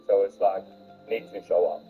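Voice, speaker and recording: A man's voice: low-pitched, steady tone, normal speed. A man talking casually and off the cuff, heard through dull audio. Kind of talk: casual unscripted talk. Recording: dull lo-fi audio with music behind.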